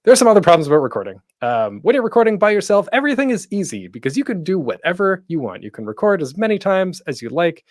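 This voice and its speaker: A man hosting an informal online tutorial, talking in a chatty, playful way with deliberate pauses for emphasis. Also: sits high, sing-song, dry recording, clean studio sound. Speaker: panel host and podcasting instructor.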